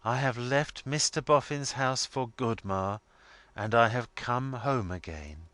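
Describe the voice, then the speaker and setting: A man voicing an affectionate young woman in a storybook, speaking plainly and earnestly with gentle firmness. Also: mid-range voice, measured pace, dry recording, close to an everyday voice. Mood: earnest resolve. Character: affectionate, frank young woman, daughter of the family.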